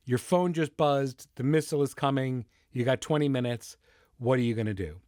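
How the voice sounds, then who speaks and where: Male podcast host, medium pitch, conversational storytelling and interviewing, very dry close sound. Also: no echo. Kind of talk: conversational narration and phone interview.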